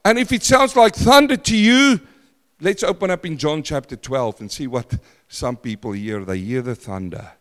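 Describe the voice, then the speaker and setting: A man preaching in a church, his voice carrying a long reverb through a large hall. He talks loud and emphatic, then drops to hushed lines. His pitch sits somewhat high for a man. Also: animated, moderate pace. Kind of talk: sermon.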